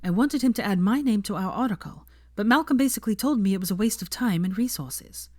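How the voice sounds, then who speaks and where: Woman acting an earnest, prickly young woman, animated. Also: mid-range voice, fast, dry recording, studio quality, pitched above the performer's own voice, close to an everyday voice. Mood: dark, sarcastic. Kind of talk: fan fiction audiobook character voice.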